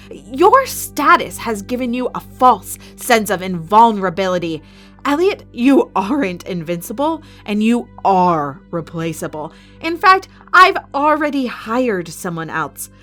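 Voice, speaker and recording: Villainess, non-human arena boss: imperious, cold, animated pitch, threatening, audio drama with music underneath. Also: female voice, exaggerated voice. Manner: haughty, menacing, measured threats.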